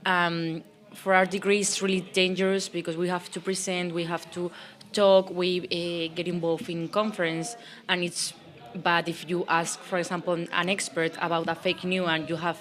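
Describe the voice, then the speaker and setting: A woman's voice: on the low side, flat delivery, normal speed. A young woman from Spain with a Spanish accent, talking thoughtfully in a podcast discussion and searching for words. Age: young adult. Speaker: student.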